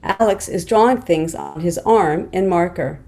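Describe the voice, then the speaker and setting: A woman's voice: fairly low pitch, unhurried, mellow. A woman reading scene descriptions as scripted narration at a table read. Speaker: stage-direction reader.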